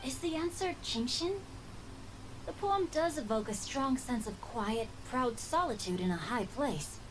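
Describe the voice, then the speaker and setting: A woman's voice: high voice, animated, unhurried. A woman voicing a refined, bookish young boy in a video game, composed and articulate. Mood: thoughtful. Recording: game audio with background noise.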